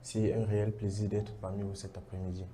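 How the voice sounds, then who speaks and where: A young man with a Cameroonian French accent, a guest on the show, speaking politely and softly. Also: lowish voice, little pitch movement, fairly quick, a little hiss or hum. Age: young adult. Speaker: guest, computing teacher.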